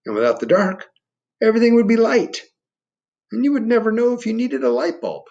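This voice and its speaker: A man's voice: sits high, sing-song, a little mellow, lo-fi sound, voice pushed up. Man voicing the coaxing storybook spirit of darkness. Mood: gently reassuring. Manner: coaxing, slow, reassuring storybook delivery. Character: the Dark, calm beckoning gentle spirit of darkness.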